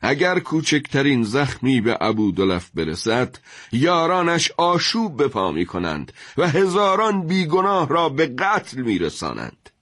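A man's voice: medium pitch, sing-song, a bit bright, dry recording, clean studio sound, voice pushed up. A man playing an anxious, wise judge in a dramatised historical story, grave, formal and deferential in manner. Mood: alarmed. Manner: grave, formal, worried delivery.

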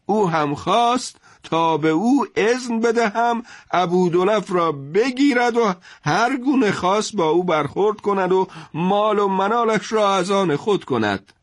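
A man's voice: high voice, animated, no echo, clean studio sound, pitched above the performer's own voice. A man playing a troubled, commanding caliph in a dramatised historical story, speaking in formal, emphatic literary phrases.

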